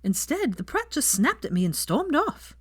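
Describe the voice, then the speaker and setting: A woman's voice: sits high, big pitch swings, moderate pace, pitched above the performer's own voice. A woman voicing a prickly, indignant young witch who teaches school, in audiobook dialogue. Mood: miffed. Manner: snappish, indignant.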